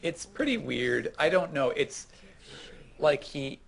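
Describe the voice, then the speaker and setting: A man chatting casually about manga as a podcast co-host, in a hesitant, halting way. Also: fairly high pitch, animated, creaky, a little hiss or hum.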